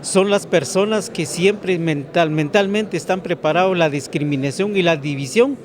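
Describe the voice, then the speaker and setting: A man's voice: sits high, normal speed, a crowd in the background. A man from Guatemala speaking with passion and some restarts.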